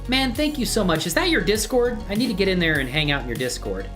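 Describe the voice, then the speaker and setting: Low voiced woman streaming, super animated and friendly, chatting w viewers, faint music behind. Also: fast. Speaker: live streamer hosting own channel. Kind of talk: casual livestream chat. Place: indoors at a computer.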